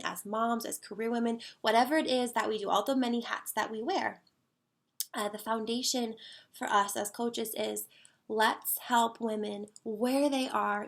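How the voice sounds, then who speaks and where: A woman's voice: fairly high pitch, creaky, fairly bright tone. A woman in her thirties, a wellness coach talking casually and earnestly, a little stuffy from a cold. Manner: earnest, conversational, with fillers. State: slightly stuffy nose from a cold. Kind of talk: casual monologue.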